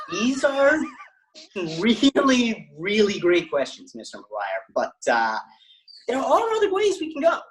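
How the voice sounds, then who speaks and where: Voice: medium pitch, huge pitch swings, takes it slowly, slight background noise, rough recording. An adult performer playing an earnest, clever teenage boy filmmaker in a comedic table read. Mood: tactful. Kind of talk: comedic table read over video call.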